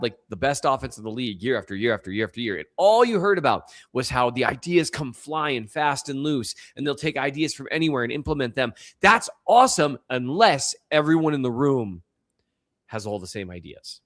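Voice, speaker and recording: A man's voice: medium pitch, very animated, brisk. A man with a slight Minnesota accent co-hosting a sports podcast, chatting casually in a rambling, self-deprecating way. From upper Midwest and Missouri. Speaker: podcast co-host.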